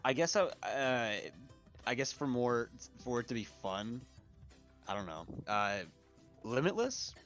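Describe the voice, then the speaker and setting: A man's voice: medium pitch, lively intonation, unhurried, a bit bright. A man guesting on a livestream, chatting casually in a giggly, hesitant way on a group call. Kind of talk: casual chat. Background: music.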